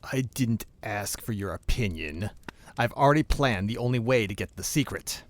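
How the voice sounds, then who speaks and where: An actor playing a scheming, commanding crime boss with a gloating, menacing manner in a superhero audio drama. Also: male voice, on the low side, expressive, normal speed, heavy background noise, close to an everyday voice. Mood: curt.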